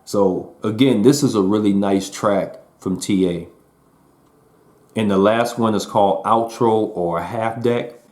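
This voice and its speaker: A man talks through an album in a loose, chatty way. His voice sits a little low and he fills his sentences with slang and easy fillers. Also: measured pace. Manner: relaxed and conversational, with slang and fillers. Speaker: music reviewer. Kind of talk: casual album review.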